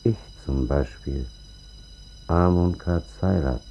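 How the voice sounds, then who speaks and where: Very deep, gravelly ancient patriarch. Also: male voice, slow, muffled recording, faint music behind. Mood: solemn, proclaiming. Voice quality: gravelly, raspy. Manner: solemn, archaic, ponderous oratory. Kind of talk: radio drama acting.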